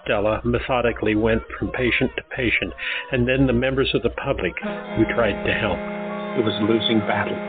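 Steady male narrator, lowish voice, phone-thin sound, soft music. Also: fairly quick, phone-quality sound. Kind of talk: scripted audio drama narration.

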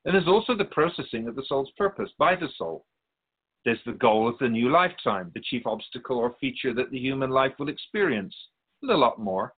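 A man playing a wise, patient otherworldly spirit in a radio drama, explaining things in a measured, gently teasing way. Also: mid-range voice, big pitch swings, husky, phone-quality sound. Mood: patient, explanatory. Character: Keeper of Souls' Purpose, wise otherworldly spirit, patient and curious.

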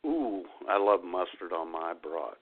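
A man's voice: low-pitched, animated, measured pace. A man co-hosting a radio show with droll, casual comedic banter. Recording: tinny phone-line sound.

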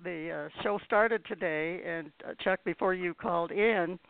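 A woman hosting a casual interview show, putting questions in a hesitant, halting way. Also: fairly low pitch, gravelly, no echo. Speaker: radio show host. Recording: dry, phone-like narrow-band audio.

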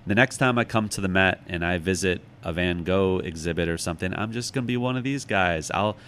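A man's voice: sits low, a little fast, a bit bright, clear, resonant tone. A middle-aged man giving reflective, conversational answers as an interview guest, thoughtful and self-deprecating. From United States, Chicago.

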